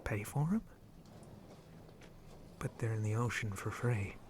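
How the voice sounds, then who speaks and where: A man acts out a roguish shark pirate captain in an ASMR roleplay, over clearly audible background noise. The voice is lowish and breathy, often dropping to a whisper. He drawls slowly in teasing, flirty pirate speech. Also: close to an everyday voice. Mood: easygoing, instructive. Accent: put-on pirate speech. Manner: slow, teasing, drawling pirate talk with low chuckles. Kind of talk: ASMR roleplay addressed to the listener.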